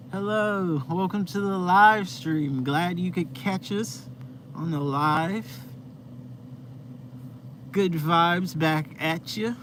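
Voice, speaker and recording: Laid-back young man, slow drawl. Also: high voice, fairly bright tone. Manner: laid-back, rambling, heavy use of "like". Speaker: live stream host. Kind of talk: casual live stream chat with viewers. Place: inside his van. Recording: noisy vehicle ambience.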